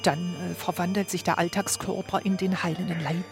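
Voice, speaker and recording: An actress playing an earnest, delusional housewife and psychiatric patient, speaking solemnly and precisely in a documentary radio play. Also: female voice, fairly low pitch, flat delivery, a little fast, music in the background, close to an everyday voice. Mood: earnest, intense.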